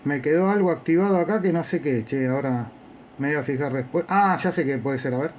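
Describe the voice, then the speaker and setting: A man's voice: fairly high pitch, brisk, very noisy. A man with a Buenos Aires accent chatting casually as an amateur radio operator, using radio jargon and call signs. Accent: Buenos Aires Spanish. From Argentina. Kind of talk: casual radio contact while testing equipment.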